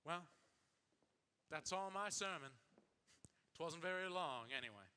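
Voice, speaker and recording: A wry, drawling small-town minister. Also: male voice, fairly high pitch, animated, slow, sharp tone, smooth, heavily acted. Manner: drawling, homespun, wry asides. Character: folksy older male narrator-minister, dry and wry. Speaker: narrator and wedding minister. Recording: reverberant old film soundtrack.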